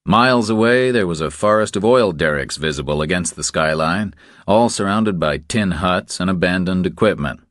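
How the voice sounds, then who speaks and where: A man's voice: sits low, normal speed, a bit bright, no echo, clean studio sound. A man reading a novel aloud as a scripted third-person audiobook narration.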